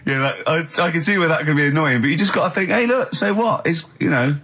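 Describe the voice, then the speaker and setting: A man's voice: a little high, animated, fast, sharp tone, breathy edge. A man doing comic radio banter, needling and exasperated as he co-hosts. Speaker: radio show co-host.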